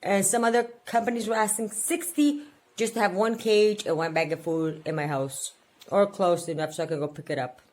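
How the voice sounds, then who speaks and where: A woman's voice: mid-range voice, normal speed, a little mellow. A woman in her forties venting and rambling in a casual personal budget vlog, repeating words for emphasis. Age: 40s. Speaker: budget vlogger.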